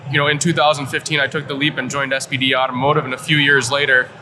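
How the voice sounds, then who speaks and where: A relaxed man from rural Kansas talks through his work in a thoughtful, easygoing way. His mid-pitched voice sits over clear trade-show noise. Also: fast talker. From rural Kansas, United States. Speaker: company director, guest. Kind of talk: conversational interview answers. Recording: live event with clear background noise. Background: show-floor ambience.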